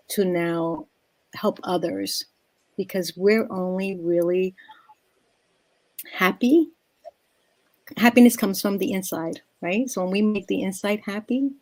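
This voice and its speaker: Low-voiced reflective woman, dry sound. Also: dark tone, no room sound, poor audio. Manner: reflective, pausing between phrases. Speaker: panel guest. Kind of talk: reflective inspirational talk.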